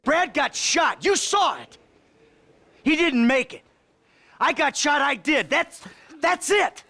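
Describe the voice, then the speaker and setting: A man's voice: very high voice, lively intonation, takes it slowly, creaky, pushed and bright, heavily acted. A man playing a distraught, insistent survivor from a video game in a strained, pleading manner. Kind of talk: re-enacting video game lines.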